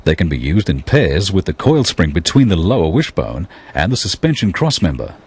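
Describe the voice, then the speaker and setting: A man giving scripted technical narration in a measured, explanatory way. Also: deep voice, big pitch swings, a little mellow, slightly rough.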